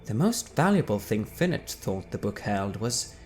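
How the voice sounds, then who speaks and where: A man with a low voice reads a first-person backstory in an even, wry manner. He lets phrases trail off softly rather than pushing them. Soft music sits quietly behind the reading. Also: moderate pace. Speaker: player reading character backstory. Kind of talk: dramatic reading in character.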